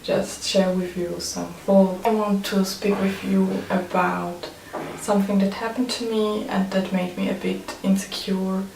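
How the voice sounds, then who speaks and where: A woman's voice: medium pitch, flat delivery, a little slow, vocal fry, hardly any pauses, darkish tone. A Swiss woman speaking non-native English with a Swiss accent in a hesitant, casual personal vlog manner. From Switzerland. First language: German and French. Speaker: photographer. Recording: roomy, distant mic, faint noise.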